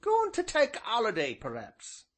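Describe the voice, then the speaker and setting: A wizened, gossipy old countryman, as a male audiobook narrator puts him on, pitched far above the reader's own voice. He drawls and quavers in a thick rural southern English dialect, swooping up and down as he spins his tales. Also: wildly swooping pitch, moderate pace, fairly bright tone, heavily acted. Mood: chatty, knowing.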